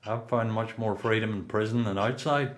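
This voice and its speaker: A man's voice: on the low side, fairly even intonation, moderate pace, natural voice. A man acting a seasoned, reflective prisoner in a radio play, questioning and sure of himself.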